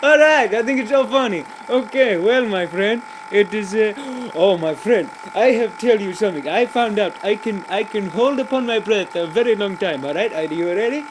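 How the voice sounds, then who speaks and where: A cheerful, rambling joke-teller played by a man, stumbling through fillers and restarts in broken grammar. The voice sits high. Loud traffic noise runs behind the recording. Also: animated, moderate pace. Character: rambling, cheerful adult man telling pointless jokes. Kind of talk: comic character monologue.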